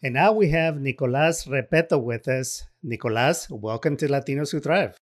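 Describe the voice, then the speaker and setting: A man's voice: mid-range voice, moderate pace. A man hosting a podcast, reading an upbeat scripted introduction and then asking questions with some hesitation. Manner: earnest, promotional delivery with fillers in questions. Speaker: podcast host.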